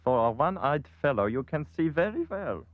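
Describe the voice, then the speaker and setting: Pompous, swooping villain. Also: male voice, on the high side, very animated, normal speed, no echo, phone-quality sound. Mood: smug, scheming. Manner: precise, haughty. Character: pompous scheming villain with a cold, logical mind.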